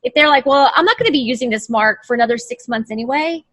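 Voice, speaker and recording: A woman lawyer from the United States explains her steps over a video call, with a voice a little higher than most. She chats casually, restarting phrases as she clicks through pages. The call sound is plain. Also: quick pace, a bit bright. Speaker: trademark lawyer. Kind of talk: casual explanatory chat over screen share.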